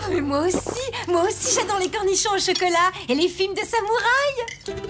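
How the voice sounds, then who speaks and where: A woman voicing a doting, excitable mother in an animated series, gushing sentimentally. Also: very high voice, moderate pace, music in the background. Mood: excited. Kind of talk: French animated series dialogue.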